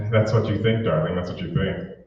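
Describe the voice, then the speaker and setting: A man's voice: sits low, moderate pace, slight background noise, close to an everyday voice. A man playing a wry, nervous young sweetheart who grumbles in muttered asides, in old-time radio comedy style. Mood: wry, grumbling. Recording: echoey room, distant mic, dull sound.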